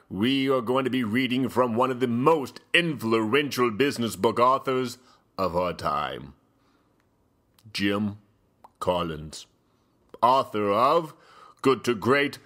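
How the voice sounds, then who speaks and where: A man playing a pompous, theatrical aristocratic gentleman who hosts mock-highbrow dramatic readings with grand, deliberate, drawn-out phrasing. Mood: stately, formal. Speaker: host.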